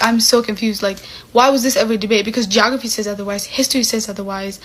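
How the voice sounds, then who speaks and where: Picture a young woman with a fairly high voice rambling through a casual vlog. She fills her talk with "like" and "um" and slips into little acted-out exchanges as she explains herself. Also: fairly bright tone. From Somali heritage. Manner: chatty, many "like" and "um" fillers, acts out quoted exchanges.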